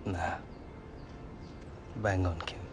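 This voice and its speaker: An actor playing an earnest, self-doubting young professional footballer in a video game drama, speaking hesitantly. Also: male voice, sits low, fairly even intonation, very slow. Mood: rueful. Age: young adult. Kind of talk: naturalistic game cutscene dialogue. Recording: faint ambient noise behind.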